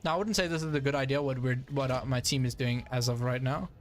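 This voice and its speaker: A man's voice: mid-range voice, steady tone, fast talker, slight background noise. A young man giving casual live commentary while playing a tank game, chatty with frequent fillers and frustrated asides. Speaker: gaming streamer.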